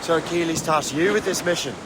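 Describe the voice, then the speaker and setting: A man's voice: a little high, brisk, natural voice. A man acting a cocky, teasing young assassin trainee in a video game, cheeky and sardonic. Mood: teasing, doubtful. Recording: noisy sea and wind behind.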